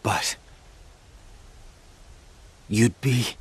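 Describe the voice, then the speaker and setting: A man's voice: fairly low pitch, extremely slow, husky, exaggerated voice. An actor playing a troubled, weary young man in a video game, a loyal friend who speaks in a wry, terse way. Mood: concerned.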